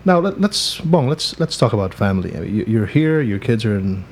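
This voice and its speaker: Filipino host, male, low-pitched, worn-out husky tone, attentive and warm-paced chat; faint noise. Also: big pitch swings, fairly quick. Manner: attentive interviewer with frequent soft backchannels. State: voice tired and husky. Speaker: host and radio broadcaster. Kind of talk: casual interview.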